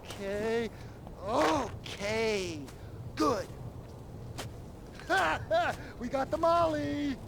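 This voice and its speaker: An actor playing a wary criminal accomplice in a video game, anxious and halting as he rambles. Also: very high voice, gravelly, noisy background, full character voice. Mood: wary, relieved. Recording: music over voice. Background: music.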